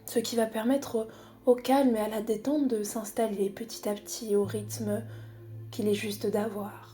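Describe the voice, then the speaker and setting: A woman guiding a body scan meditation in a calm, unhurried, soothing manner with long pauses. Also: a little high, soft background music. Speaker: psychologist guiding a relaxation exercise.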